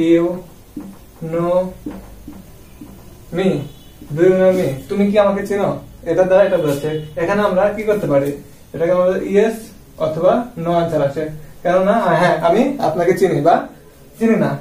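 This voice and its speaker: A man's voice: sits high, dark tone. A man giving a classroom-style explanation as a teacher, posing questions and answering them himself. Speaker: English grammar teacher. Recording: roomy echo, distant microphone.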